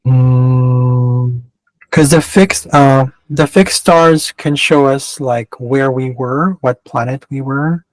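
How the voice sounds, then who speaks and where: A man's voice: medium pitch, takes it slowly, dry recording, rough recording. Rambling man with a Canadian-West Coast accent. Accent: mixed Canadian and West Coast American. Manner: rambling, self-interrupting, digressive. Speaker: astrologer. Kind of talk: casual chart reading.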